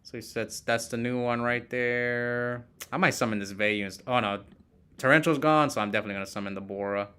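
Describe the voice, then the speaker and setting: A man's voice: mid-range voice, fairly even intonation, brisk, bright, forceful tone, clean tone. A man casually commentating a card game he is playing live, thinking aloud and chatting with viewers as he goes.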